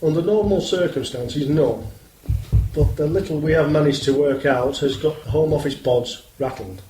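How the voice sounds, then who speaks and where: In a roomy, slightly hissy recording, a man acts out an earnest British police inspector for a radio play. His voice sits a little high, with a formal, measured and guarded delivery. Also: mellow, lightly acted. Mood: grave, guarded. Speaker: actor in amateur radio play. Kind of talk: scripted radio drama dialogue.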